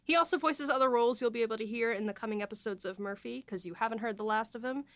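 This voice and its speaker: A woman's voice: on the high side, fast, a bit bright, dry recording. A woman hosting a casual interview podcast, introducing and questioning in a conversational way. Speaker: podcast host.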